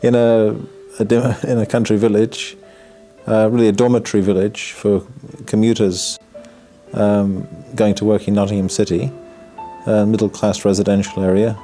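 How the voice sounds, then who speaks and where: A Buddhist monk, a man from the north of England, talks in a low, unhurried voice about his life. He is reflective and halting, restarting words often and slipping in dry humor. Clear music plays behind him. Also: dark tone. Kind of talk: documentary interview. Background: background music.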